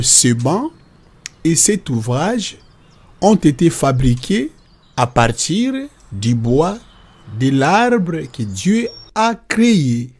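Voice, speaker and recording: Husky man playing a patient cartoon father. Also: fairly high pitch, a little mellow, heavily acted. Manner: didactic, explains definitions step by step. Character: patient, instructive father explaining nature and God to children.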